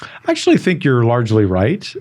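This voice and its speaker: A middle-aged man hosting an interview show, speaking in a measured, persuasive way and often repeating a word before going on. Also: mid-range voice, wildly swooping pitch, a little mellow. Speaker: talk show host. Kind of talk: interview conversation.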